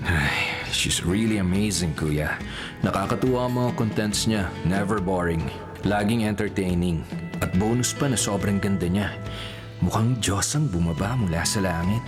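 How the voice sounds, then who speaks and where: A man's voice: low voice, normal speed, lightly acted. An actor playing a smitten, teasing young male professor in a Filipino radio romantic comedy, suave and understated with a Filipino accent. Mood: admiring. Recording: music bed behind the voice.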